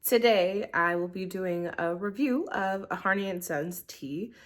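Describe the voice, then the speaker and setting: A woman's voice: medium pitch, unhurried. Congested, nasal young woman chatting casually. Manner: chatty, rambling, many ums. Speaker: tea review vlogger. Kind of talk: casual review vlog. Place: living room at home. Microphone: camera in portrait mode.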